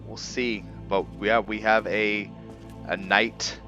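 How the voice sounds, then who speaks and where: A man hosting a roleplay playthrough with casual game commentary, halting as he thinks aloud. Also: on the low side, flat delivery, lo-fi sound. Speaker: gameplay host, roleplayer. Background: soft background game music.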